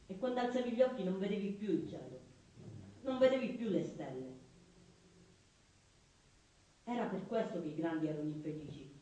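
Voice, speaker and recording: An actor playing a gentle, timid, melancholy man in a stage play, with terse, plain, wistful delivery. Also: high voice, lively intonation, moderate pace, pauses often, far from the mic. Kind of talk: intimate stage theatre acting. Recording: very echoey theatre stage from afar.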